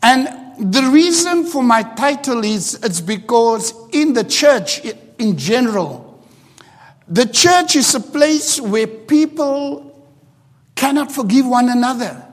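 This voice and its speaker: A man whose first language is Afrikaans, preaching a sermon in English with halting restarts and rising emphasis. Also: extremely high pitch, big pitch swings. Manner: starts quiet and halting, builds to loud emphatic preaching. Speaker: pastor. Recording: long hall reverb.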